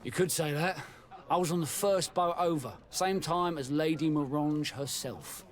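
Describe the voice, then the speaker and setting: A man's voice: fairly high pitch, normal speed, lightly acted. A man playing a chatty, sly ex-soldier tavern keeper in a video game, with a folksy manner. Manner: folksy, colloquial. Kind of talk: video game dialogue acting.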